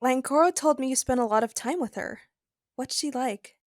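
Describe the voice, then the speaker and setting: A woman's voice: sits high, moderate pace, no room sound, clean studio sound, close to an everyday voice. A woman playing a frank, feisty, worried female adventurer in an audio drama, speaking candidly and with emphasis. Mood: curious. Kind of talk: first-person narration and dialogue in an audio drama.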